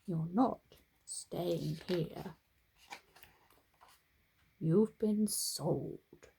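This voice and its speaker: A kindly but blunt donkey owner from a storybook, talking down gently to his animal, as a woman puts him on. The delivery is slow and husky, with gravelly texture. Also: on the low side, heavily acted. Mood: gentle.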